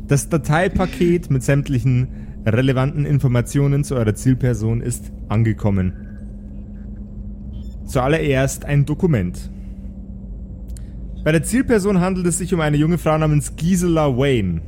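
A man hosting and narrating an improvised tabletop audio drama as game master, measured and descriptive. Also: on the high side, animated, dark tone, slight background noise. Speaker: game master and podcast host.

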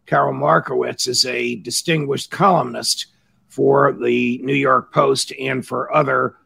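Gravelly-voiced man hosting an interview. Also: mid-range voice, steady tone, sharp tone. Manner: formal, measured questioning. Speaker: podcast host. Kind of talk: interview questions.

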